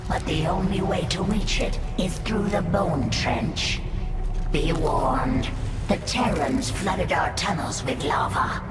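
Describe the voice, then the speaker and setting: A woman's voice: sits low, expressive, slow. A woman voicing a proud, fierce alien brood mother in a video game, with theatrical, declamatory delivery and creature processing. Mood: submissive, wary. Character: Zagara, proud fierce female alien brood mother, defeated yet loyal. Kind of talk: dramatic video game acting. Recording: echoey, distant, loud game music behind.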